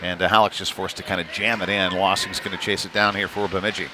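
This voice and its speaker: A man giving live play-by-play of youth hockey in a conversational, folksy manner, with pauses between calls. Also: low-pitched, flat delivery. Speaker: play-by-play commentator. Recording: noisy live rink feed.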